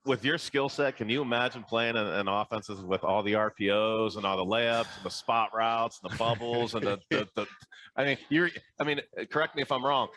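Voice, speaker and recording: Man, podcast co-host, casual banter, middle pitch. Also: brisk, sharp tone.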